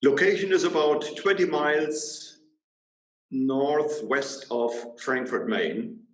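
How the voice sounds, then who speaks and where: A man in his sixties with a German accent, answering as an interview guest in a measured way with frequent hesitations over a video call. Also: a little high. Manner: measured, with frequent ums and uhs. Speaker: guest, water brand owner. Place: bright room indoors. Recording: roomy echo.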